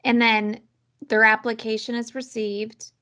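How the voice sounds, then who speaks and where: A woman's voice: on the high side, flat delivery, unhurried, sharp tone. A woman hosting a podcast interview, casually asking short clarifying questions. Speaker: podcast host.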